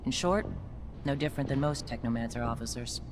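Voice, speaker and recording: Man as stern soldier, guarded, formal, measured, middle pitch, game dialogue, noisy ambience. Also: natural voice. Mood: guarded, distrustful. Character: wary, stern male army soldier. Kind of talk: video game dialogue acting. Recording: clear background noise and ambience.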